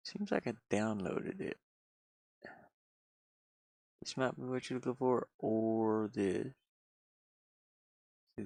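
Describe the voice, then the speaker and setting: Slow, gravelly man rambling casually. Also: fairly low pitch, darkish tone, no room sound. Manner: rambling, muttering to himself, folksy colloquial grammar. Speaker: livestreaming gamer and hobby game developer living in a van. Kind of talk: casual unscripted livestream chat. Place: inside a van.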